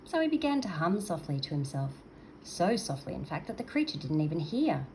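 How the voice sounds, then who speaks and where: A woman's voice: sits low, big pitch swings, a little fast, a little mellow, low-quality recording. An older woman storyteller reading a children's story aloud expressively, in a grandmotherly manner. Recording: noticeable background noise.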